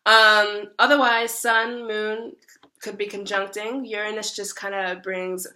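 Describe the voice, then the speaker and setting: A young woman with a hoarse voice from a cold gives a chatty, rambling tarot reading full of fillers. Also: mid-range voice, little pitch movement, measured pace, pushed and bright. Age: young adult. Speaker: tarot reader. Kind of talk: casual monthly tarot reading.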